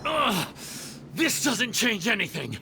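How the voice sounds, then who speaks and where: An actor playing a cocky mercenary thug in a video game, sly, taunting and threatening. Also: male voice, sits high, big pitch swings, slow pace, gravelly, background noise, heavily acted. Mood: bitter, defeated. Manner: taunting, smug.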